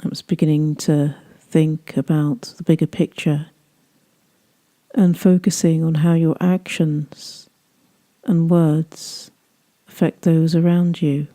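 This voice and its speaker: A woman leading a guided meditation, speaking gently in soothing, unhurried phrases that trail off softly. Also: sits low, little pitch movement.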